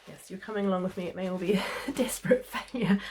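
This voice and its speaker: A woman with a New Zealand accent, slightly hoarse from a cold, chatting casually as she presents a sewing tutorial. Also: medium pitch, fast talker, a little mellow, a little hiss or hum. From New Zealand, Christchurch. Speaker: sewing vlogger.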